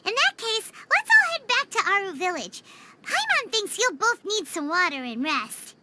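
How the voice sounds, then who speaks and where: A woman's voice: very high voice, big pitch swings, normal speed, heavy background noise. A woman voicing a tiny chirpy female fairy-like companion who talks about herself in the third person. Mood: upbeat. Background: game music.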